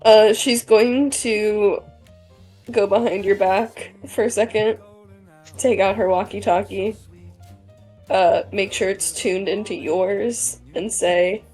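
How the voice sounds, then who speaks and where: A woman playing in a tabletop game, who speaks hesitantly and haltingly, with plenty of fillers. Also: mid-range voice, fairly even intonation, warm tone, breathy edge, soft background music. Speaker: player.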